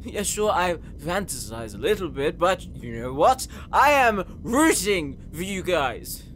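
A man's voice: high voice, very animated, unhurried, a bit bright, a little hiss or hum, full character voice. A man playing an insecure, earnest young man in an audio roleplay, whiny and easily flustered. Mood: emotional. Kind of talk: dramatic audio roleplay acting.

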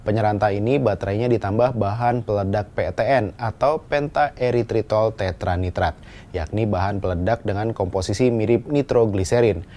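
A man's voice: fairly low pitch, moderate pace, mellow. A man narrating a scripted news explainer as a voice-over. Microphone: voice-over microphone. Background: background music.

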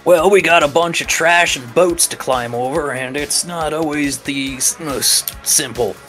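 A man voicing a gruff, folksy junkyard scrapper in a tabletop roleplaying game. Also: fairly high pitch, measured pace, fairly bright tone, faint music behind. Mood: wary, folksy. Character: gruff, eager junkyard scrapper, fond of his drones.

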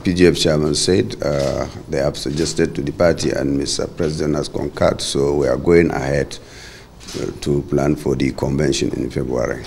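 A man's voice: very deep voice, steady tone, normal speed, creaky, a little mellow, a little hiss or hum. A middle-aged man from Nigeria answering reporters' questions in an indoor corridor, firm and formal. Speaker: party caretaker chairman and governor. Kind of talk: press briefing. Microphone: many handheld reporter mics.

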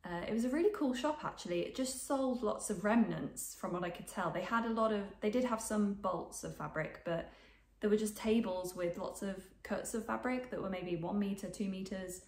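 A woman's voice: medium pitch, moderate pace, hardly any pauses, reverberant. A woman in her twenties with a bit of a cold, chatting casually about sewing to camera from her sewing room, rambling with lots of ums. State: snuffly from a cold. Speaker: sewing vlogger. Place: home sewing room, indoors.

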